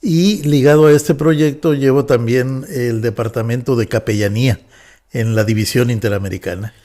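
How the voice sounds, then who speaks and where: A man in his fifties from northwest Mexico with a Mexican Spanish accent, a pastor talking calmly as a podcast guest and pausing often on "eh". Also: mid-range voice. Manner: measured, with frequent "eh" fillers. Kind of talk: relaxed podcast interview.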